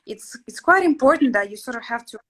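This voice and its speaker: Young woman, from Latvia, Russian native speaker, bright tense tone, casual host chat, halting with ums. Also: a little high, animated. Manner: hesitant, many fillers and restarts. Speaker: channel host, interior sketch artist. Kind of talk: casual conversation.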